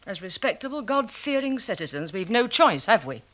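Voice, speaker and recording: A woman's voice: medium pitch, very animated, moderate pace, a bit bright. A woman playing a nosy, nagging, self-righteous wife in a 1970s radio play, emphatic and melodramatic. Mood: indignant.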